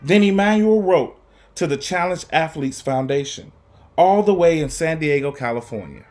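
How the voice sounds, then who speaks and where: A man reading a children's story aloud to students, with deliberate, emphatic phrasing and an earnest, encouraging manner. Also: fairly high pitch, lively intonation, a little mellow. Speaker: guest reader for students.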